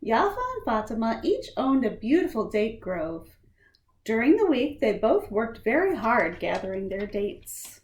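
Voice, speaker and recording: A woman reads a children's picture book in a lively, swooping voice on the higher side. She takes her time and plays up the words for young listeners. The room adds a clear echo. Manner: animated, deliberate, acts out lines and sound words. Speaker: storytime reader for a library. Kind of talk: expressive children's picture-book read-aloud. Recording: roomy echo, faint background noise.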